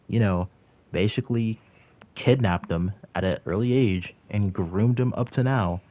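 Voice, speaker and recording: A man of about twenty chatting casually on a livestream and answering his chat, rambling with lots of "like" and restarts. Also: low voice. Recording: tinny phone-like audio.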